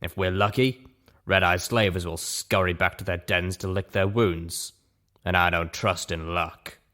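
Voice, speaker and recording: A man voicing a tough, shrewd female mercenary leader from a story, gruff and businesslike. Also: low voice, fairly even intonation, measured pace, fairly bright tone.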